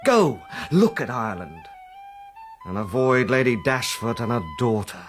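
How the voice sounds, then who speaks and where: An actor playing a world-weary officer who speaks in an emphatic, well-spoken way in a period radio drama. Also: male voice, mid-range voice, sing-song, a little slow, soft background music. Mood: grave warning. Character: world-weary young officer friend, gravely cautioning.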